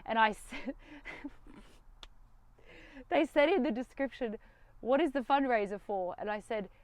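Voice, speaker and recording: Young woman vlogging from a van: defiant, animated delivery, slightly high pitch, dry close-miked sound. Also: takes it slowly, dry recording. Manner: defiant, animated, rising to exclamations. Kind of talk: casual talk to camera. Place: inside a van.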